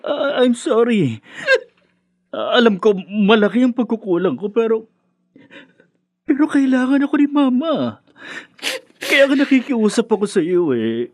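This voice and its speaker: A man's voice: extremely high pitch, very animated, unhurried, pauses often, dry recording, studio quality, heavily acted. An actor playing an apologetic husband torn between wife and mother in a radio drama, pleading and faltering.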